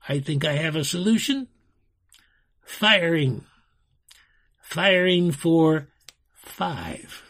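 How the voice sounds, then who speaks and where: A man's voice: a little high, fairly bright tone, voice pushed up, full character voice. A man voicing a raging, sinister fire wizard in a storybook, commanding and gloating as he shrieks.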